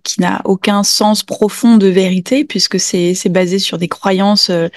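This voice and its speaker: A woman's voice: medium pitch, darkish tone, no room sound, clean studio sound. A woman hosting a casual, reflective podcast interview, meandering as she searches for her words.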